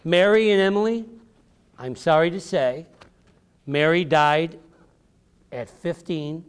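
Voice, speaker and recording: A man's voice: fairly high pitch, slow pace, smooth. An American man, an author, giving an encouraging, theatrical storytelling talk to children in simple, emphatic phrases. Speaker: author and speaker. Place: public library event before children.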